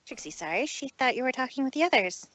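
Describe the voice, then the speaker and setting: A woman acts out a proud pony sorceress for a cartoon fan story. Her voice is fairly high and very animated, with a theatrical, formal delivery. The recording is dry and close. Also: quick pace, no room sound. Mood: weary, embarrassed. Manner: theatrical, formal, refers to herself in third person. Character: female show-off pony magician, proud, troubled, speaks in third person.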